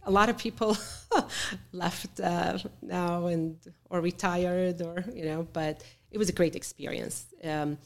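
Middle-aged woman guest, low voice, halting delivery full of fillers. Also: steady tone, gravelly. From born in Chicago, raised in Beirut. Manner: halting, with many fillers and restarts. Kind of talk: interview storytelling.

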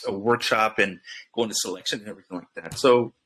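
A middle-aged man with a low, bright voice tells his stories in a loose, halting way. He restarts his sentences often and fills the gaps with fillers. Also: a little hiss or hum. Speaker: guest. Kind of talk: casual storytelling.

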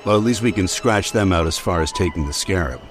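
Deep-voiced, wry sidekick. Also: male voice, expressive, brisk, faint music behind. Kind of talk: old-time radio drama acting.

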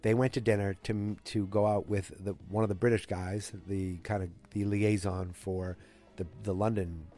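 Male podcast host, fighting a cold, dark and low, casual and digressive. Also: level tone, normal speed, soft background music. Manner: rambling, digressive, self-correcting. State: has a cold. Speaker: podcast host recapping a TV episode. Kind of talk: casual solo recap.

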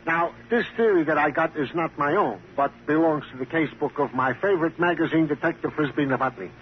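Casting: man playing talkative, eager cop sidekick for vintage radio drama, higher-pitched male, comic long-winded delivery, dull sound. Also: brisk, lightly acted. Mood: pleased, teasing. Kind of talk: 1950s radio crime drama acting. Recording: noisy, muffled vintage radio recording.

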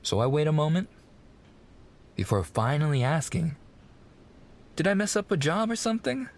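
An actor playing a mafia boss's young son, an eager, sweet fiancé coaxing in slangy gangster talk in an intimate roleplay. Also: male voice, a little high, sing-song, moderate pace, creaky. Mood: animated storytelling. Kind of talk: boyfriend ASMR roleplay, intimate monologue.

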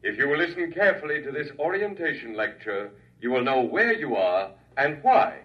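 An actor playing a dignified alien lecturer in the guise of an elder gentleman, speaking formally and grandly in a classic radio drama. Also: medium pitch, big pitch swings, moderate pace, lo-fi sound, natural voice. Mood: reassuring, formal. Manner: stately, formal, reassuring, grandiose. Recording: distant-miked vintage radio recording.

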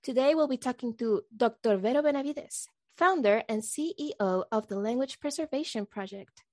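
Higher-voiced friendly woman hosting an interview. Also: moderate pace, dry recording, clean studio sound. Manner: friendly, a little nervous and excited. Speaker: podcast host.

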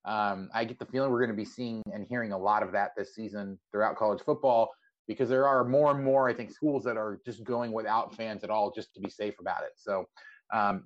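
A man hosting a sports podcast, talking casually in an interview. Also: lowish voice. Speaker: podcast host. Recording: slightly dull, lo-fi audio.

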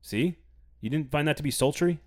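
A man's voice: fairly high pitch, a little fast. A man hosting a casual sports podcast, playful and teasing, reading an ad with gusto. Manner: playful, teasing, reads ads with enthusiasm. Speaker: podcast host.

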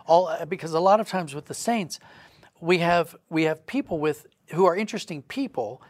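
A man's voice: a little high, lively intonation, normal speed, dry recording. A man speaking as a podcast guest, explaining reflectively in conversation and building his thoughts in stages. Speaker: podcast guest, writer and creative director.

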